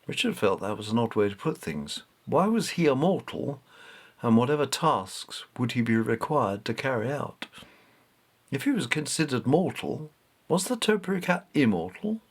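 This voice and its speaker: Expressive male storyteller. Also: medium pitch, breathy edge. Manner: expressive, measured reading. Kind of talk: scripted narration of a children's novel.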